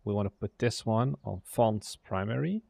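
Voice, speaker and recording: Young man, casual dev-stream muttering, gravelly fry, dry close sound. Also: fairly low pitch, moderate pace, dark tone, no room sound. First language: Dutch. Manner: mutters to himself, trailing off and swearing lightly. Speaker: indie game developer streaming. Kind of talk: casual livestream, thinking aloud while working.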